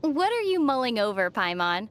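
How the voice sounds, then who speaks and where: A woman playing a young female traveler in a video game, gently teasing and reassuring, with a playful, dry manner. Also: on the high side, very animated, moderate pace. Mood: curious, calm. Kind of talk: video game character dialogue.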